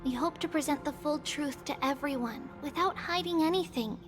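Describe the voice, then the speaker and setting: A caring, responsible little girl voiced by a woman in a video game. Her voice is extremely high, gentle and encouraging, with articulate phrasing. Light music plays in the background. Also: moderate pace, natural voice. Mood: explaining. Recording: music behind the voice.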